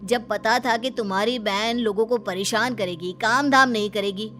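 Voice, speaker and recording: Woman voicing an earnest, high-voiced younger brother. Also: moderate pace, fairly bright tone, faint music behind, close to an everyday voice. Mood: indignant. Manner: pleading, indignant. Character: earnest, frustrated young man protective of his mother.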